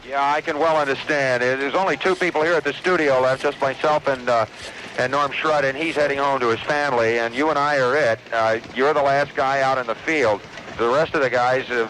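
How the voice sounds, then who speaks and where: A male actor plays a tired, anxious radio news anchor holding the studio together in an invasion drama. He talks in a halting, unscripted-sounding way, circling back on phrases. His mid-pitched voice comes through a noisy old radio broadcast. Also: a bit bright, lightly acted. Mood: weary, tense. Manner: hesitant, halting delivery with repeated phrases.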